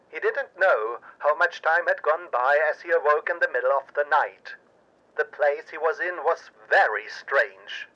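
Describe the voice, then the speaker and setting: A man narrating a pulp audio drama in a theatrical, suspenseful and deliberate way. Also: fairly high pitch, sharp tone, lo-fi sound. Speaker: narrator and storyteller.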